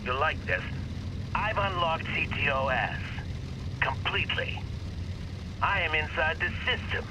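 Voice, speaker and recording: A sardonic, gloating male villain from a video game. His high voice swings widely as he taunts with theatrical relish, over loud traffic-like noise. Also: animated, measured pace. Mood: taunting. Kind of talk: dramatic video game acting.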